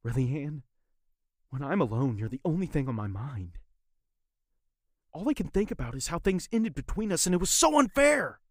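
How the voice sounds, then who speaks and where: A very low, husky young man's voice, acted by a woman. He is an earnest, wounded former lover in an audio drama, urgent and pleading. The delivery swings widely in pitch with intense feeling. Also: sing-song, normal speed, long pauses, no room sound, clean studio sound. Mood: hurt, pleading.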